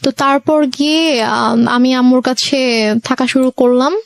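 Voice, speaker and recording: High-voiced young Bangladeshi woman telling her story. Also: fairly even intonation, a little slow, clean tone, no echo. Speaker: interview guest. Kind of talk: personal storytelling in an interview.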